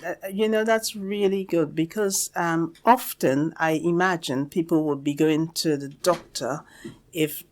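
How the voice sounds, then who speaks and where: A woman with a low-pitched voice hosts a radio interview. She speaks conversationally, musing and circling around her point as she puts questions to her guest. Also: measured pace, a little mellow.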